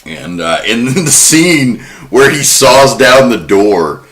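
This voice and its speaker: Man, podcast host, bright voice, mid pitch, casual film talk, informal and enthusiastic. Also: very animated, slow pace.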